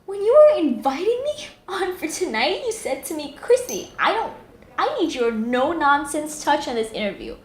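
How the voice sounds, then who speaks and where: Woman acting an indignant, high-pitched female TV journalist. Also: sing-song, moderate pace, reverberant. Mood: hurt, accusing. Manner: emphatic, argumentative, articulate. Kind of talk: amateur audio drama acting.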